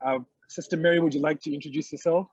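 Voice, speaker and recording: A man's voice: on the high side, fairly even intonation, fast talker, a little mellow, husky, no room sound, muffled recording. Ugandan man, dry close audio. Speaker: electrical engineer.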